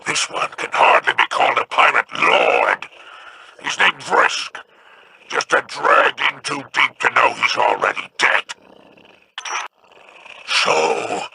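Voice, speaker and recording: Video game figure: a menacing alien pirate boss, gritty gravel in the voice, slow and deliberate. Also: male voice, mid-range voice, bright, forceful tone, a little hiss or hum, exaggerated voice, rough recording. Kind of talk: game voice acting.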